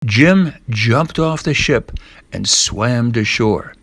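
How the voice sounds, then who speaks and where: An older man narrating a storybook in a measured read-aloud manner, with the odd stumble. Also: mid-range voice, animated, fairly bright tone, soft background music.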